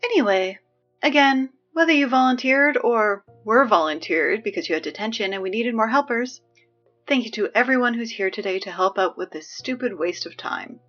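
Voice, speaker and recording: A woman playing a high-pitched, sarcastic school announcer. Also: normal speed, fairly bright tone, lo-fi sound, soft background music, lightly acted. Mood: exasperated, sarcastic. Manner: wry, sarcastic, conversational asides. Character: exasperated high school morning announcer, sarcastic and dryly officious. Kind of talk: scripted comedic audio drama announcement.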